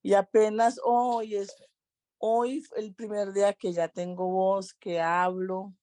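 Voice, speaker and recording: A woman's voice: medium pitch, little pitch movement, slow pace, mellow, dry recording, clean studio sound. A woman in a reading group earnestly sharing a personal experience. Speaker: reading club participant.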